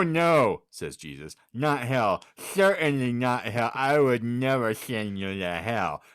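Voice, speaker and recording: Deadpan male podcast host with swooping delivery. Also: mid-range voice, no room sound. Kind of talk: reading out a hypothetical scenario and bantering.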